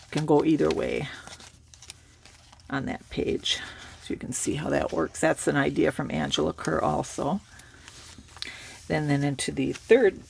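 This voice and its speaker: A woman with a cold and a raspy voice, casually showing a handmade journal and rambling through it with fillers. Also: low voice, slight background noise. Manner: relaxed, rambling, with many fillers and restarts. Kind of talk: casual show-and-tell.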